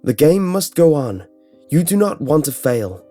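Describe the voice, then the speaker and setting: A man voicing a menacing female computer game announcer in a story, giving measured, impersonal commands. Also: fairly high pitch, very animated, mellow, faint music behind, voice pushed up. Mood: implacable. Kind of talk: horror audiobook character dialogue.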